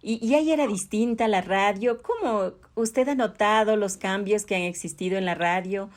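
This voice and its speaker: A woman hosts a radio-style interview in a mid-pitched voice. She is courteous and uses formal address. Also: moderate pace. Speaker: interviewer, host.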